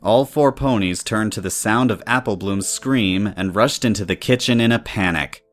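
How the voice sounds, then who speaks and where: Low-pitched male story narrator. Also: moderate pace.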